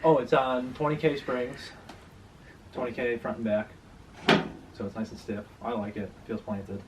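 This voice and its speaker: A man's voice: medium pitch, little pitch movement, normal speed, distant mic. A man chatting casually in an offhand, slangy, rambling way, with frequent swearing. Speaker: car owner and vlogger. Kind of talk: casual chat about his drift car. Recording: clearly noisy location recording.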